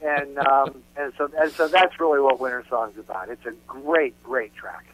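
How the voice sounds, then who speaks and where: A man's voice: medium pitch, moderate pace, fairly bright tone. A man speaking as a musician guest in a casual radio interview, emphatic and often repeating his phrases for weight. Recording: dull, noisy phone-like radio line.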